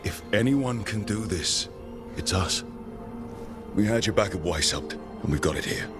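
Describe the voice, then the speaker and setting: Man as a deep, determined hero commander. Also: lively intonation, moderate pace, lightly acted. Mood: encouraging. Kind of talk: video game voice acting. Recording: music behind the voice.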